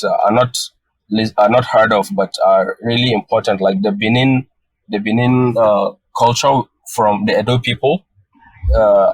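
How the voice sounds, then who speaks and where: A young Nigerian man with a lowish voice answers interview questions over a video call. His native tongue is Hausa, and he speaks in measured phrases full of fillers and restarts. The sound is dry and close. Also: dry recording, rough recording. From northern Nigeria. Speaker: guest photographer.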